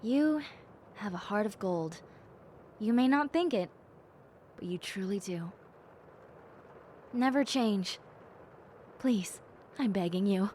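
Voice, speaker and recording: A woman voicing a gentle, cheerful young bat girl in a soft, playful and sincere audio roleplay. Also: mid-range voice, expressive, slow, pauses often, slight background noise. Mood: sincere, tender. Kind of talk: audio roleplay addressed to the listener.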